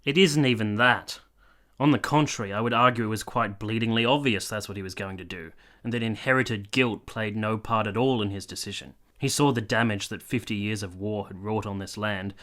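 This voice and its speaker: A man's voice: lowish voice, fairly quick, fairly bright tone, lightly acted. An actor playing a cynical, bitter soldier captain in his late twenties in a period radio drama, dry and argumentative. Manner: dry, argumentative, matter-of-fact.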